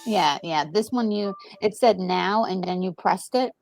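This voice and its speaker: A woman co-hosting a gaming channel, chatting casually in a gushing, expressive way as she reacts. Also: mid-range voice, measured pace, creaky, soft background music. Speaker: gaming co-host.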